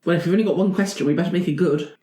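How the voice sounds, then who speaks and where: A woman's voice: lowish voice, rapid-fire, warm tone. A woman playing a tabletop game in character, with a gallant, declarative manner. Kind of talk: in-character improvised roleplay. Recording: roomy echo.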